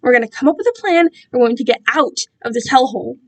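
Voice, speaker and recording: A woman's voice: high-pitched, expressive, fast. A woman voicing a protective young male captive in a story, urgent, anguished and stammering. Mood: anguished, reassuring.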